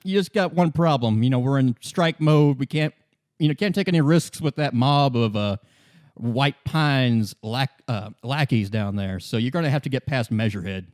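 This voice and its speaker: A man's voice: mid-range voice, moderate pace, darkish tone, close to an everyday voice. A man voicing a lackadaisical, friendly union picket with a casual, helpful way of talking. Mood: obliging.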